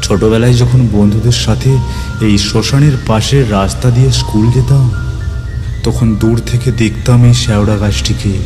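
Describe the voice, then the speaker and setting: A man's voice: fairly low pitch, fairly even intonation. Man narrating suspenseful horror over prominent music. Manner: suspenseful, theatrical, with deliberate pauses and repeated words. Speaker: narrator of a ghost story. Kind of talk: dramatic first-person horror storytelling.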